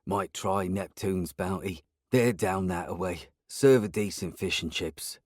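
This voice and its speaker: A man's voice: low voice, unhurried, no echo, clean studio sound. A man doing a coarse English drifter. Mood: offhand. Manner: casual, offhand. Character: scruffy middle-aged male boardwalk drifter.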